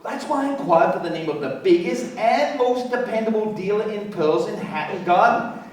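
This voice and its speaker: A wealthy, boastful American businessman in a radio play. He talks in a high-pitched, folksy, garrulous way full of slang. The sound is very echoey and set back from the microphone. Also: expressive, moderate pace, recorded from a distance. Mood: chatty, boastful. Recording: very echoey room, off mic.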